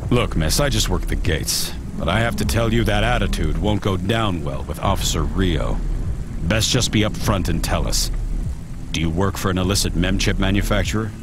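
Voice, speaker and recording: A man's voice: low-pitched, moderate pace, background noise. A man playing a by-the-book, mild-mannered checkpoint guard in a video game, plain-spoken and officious. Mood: firm, official.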